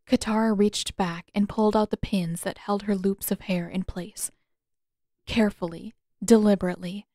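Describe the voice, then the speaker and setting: A woman reading a story aloud as narrator, with expressive, measured, deliberately phrased dramatic delivery. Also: medium pitch, no room sound, clean studio sound. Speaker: narrator and author. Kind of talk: expressive audiobook narration of fan fiction.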